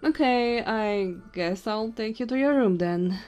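A woman's voice: fairly high pitch, darkish tone, dull audio, faint music behind, natural voice. A woman voicing a tipsy, flirty female goblin innkeeper in an ASMR audio roleplay, teasing and intimate. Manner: teasing, intimate, drawn-out drunken musing.

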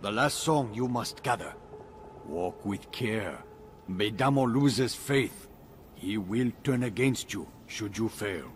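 A man's voice: lowish voice, expressive, slow pace, a little hiss or hum. A man playing a terse, watchful alien warrior in a video game, with solemn, clipped phrasing. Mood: grave warning. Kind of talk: dramatic video game acting. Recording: loud music behind.

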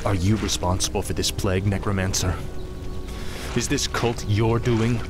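A grimly determined young prince acted by a man speaks in a low voice. He is brisk, earnest and commanding, a fantasy game hero with music clearly behind him. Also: steady tone, natural voice. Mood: challenging. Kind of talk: video game drama acting.

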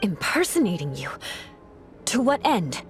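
An actress playing a proud, guarded, skeptical young knight in a video game, talking in a cool, formal manner. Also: female voice, mid-range voice, big pitch swings, unhurried, over music. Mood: suspicious. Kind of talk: scripted video game cutscene dialogue.

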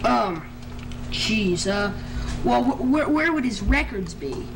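A man's voice: high-pitched, sing-song, slow, vocal fry, full character voice. Man acting as casual detective, noisy camcorder sound. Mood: hesitant. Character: casual, hesitant private detective partner. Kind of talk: amateur improvised film acting. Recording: noisy camcorder audio, traffic.

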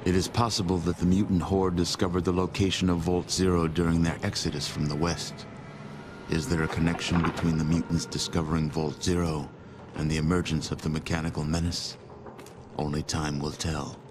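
An actor playing a solemn, measured war chronicler narrating a post-apocalyptic game. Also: male voice, low voice, flat delivery, background noise, natural voice. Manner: grave, measured storyteller delivery. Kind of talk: scripted game cutscene narration. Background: music.

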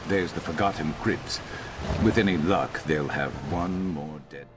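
A man playing a gruff, loyal reptilian companion in a video game, dry and terse with a vengeful streak. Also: extremely low pitch, unhurried, noisy background. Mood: wary, businesslike. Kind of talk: video game character acting.

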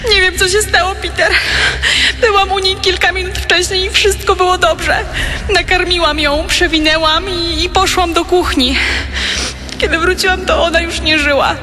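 High-voiced scheming wife. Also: female voice, fairly even intonation, moderate pace. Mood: distraught, pleading. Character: scheming wife feigning concern, a serial killer. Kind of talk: acted scenes in a true-crime audio drama. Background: music.

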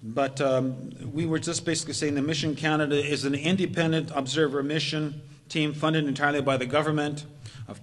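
An older man giving a measured, methodical talk from a podium in a community hall. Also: fairly high pitch. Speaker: presenter. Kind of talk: lecture presentation. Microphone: podium microphone, far camera. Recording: long reverb of a hall.

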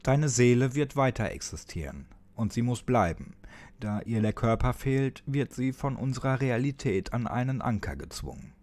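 A man narrating a horror story as a dramatic audiobook, addressing the listener ominously with pauses and occasional near-whispers. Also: medium pitch, very animated, a little mellow. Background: music.

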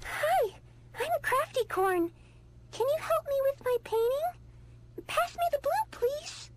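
A woman's voice: very high-pitched, expressive, slow, very noisy, exaggerated voice. A woman voicing a dainty, polite but obsessively demanding unicorn toy in cartoon voicelines. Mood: sweet. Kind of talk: children's toy character voicelines.

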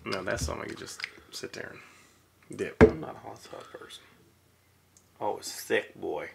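A man's voice: lowish voice, moderate pace, gravelly, in a whisper. A man in his thirties co-hosting a live stream, joking crudely and laughing while tasting hot sauces at a desk mic in a small studio room. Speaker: co-host. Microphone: studio mic on desk stand. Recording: noisy live stream audio.

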